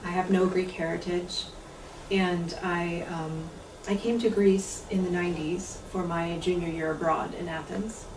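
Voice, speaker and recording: A woman in her fifties, an author and translator, talks about her book in a measured, thoughtful way, pausing often with "um"s. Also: on the low side, flat delivery, background noise. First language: English. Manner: measured, thoughtful, with frequent pauses and "um"s. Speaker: author and translator giving a talk. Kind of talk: book talk with poetry reading. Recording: echoey room, voice picked up from a distance.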